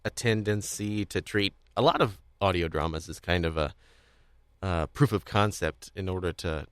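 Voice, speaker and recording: A man hosting a podcast in a casual interview style, rambling and halting as he repeats words while thinking aloud. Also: low-pitched, a bit bright, clear, resonant tone, no room sound, studio quality. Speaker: podcast host. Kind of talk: casual interview chat about audio drama.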